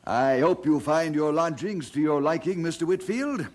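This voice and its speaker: A man's voice: fairly high pitch, animated, normal speed, darkish tone, a little hiss or hum, close to an everyday voice. Man playing fussy, swooping, eager-to-please churchman. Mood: effusive. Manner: effusive, flustered, hesitant. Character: fussy, eager, deferential male church representative. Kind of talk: radio drama acting.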